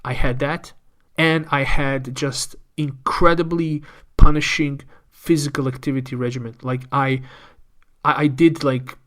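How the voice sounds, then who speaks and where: A man's voice: medium pitch, steady tone, unhurried, fairly bright tone. A man, speaking as a podcast guest and candidly telling a personal story, often repeating words as he thinks. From Croatia. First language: Croatian. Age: 30s.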